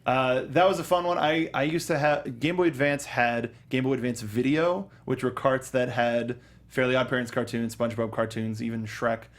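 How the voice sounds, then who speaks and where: Excitable man co-hosting a pop culture show. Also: mid-range voice, normal speed. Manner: enthusiastic, rambling with fillers and restarts. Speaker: podcast co-host. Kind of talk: casual chat.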